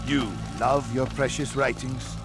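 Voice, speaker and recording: An actor playing an arrogant, zealous leader who orders books burned, declaiming with menace in a video game cutscene. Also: male voice, medium pitch, flat delivery, unhurried, natural voice. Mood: scornful preaching. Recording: music under the voice.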